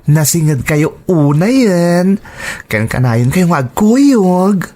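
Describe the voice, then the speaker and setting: A woman's voice: fairly low pitch, sing-song, slow pace, dark tone, exaggerated voice. A woman with an Ilocano Filipino accent playing a nosy, teasing female friend in a drama. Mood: suspicious, needling. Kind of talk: Ilocano radio-style drama acting.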